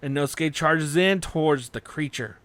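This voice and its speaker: A man's voice: fairly high pitch, moderate pace, a bit bright, no room sound. A man reading fan fiction aloud as a dramatic audiobook narrator.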